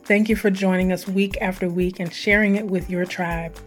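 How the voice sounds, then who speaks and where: Hoarse woman hosting a podcast. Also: on the low side, soft background music. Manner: animated, conversational, drawn-out emphasis. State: hoarse, scratchy. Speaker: podcast host. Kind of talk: solo podcast intro.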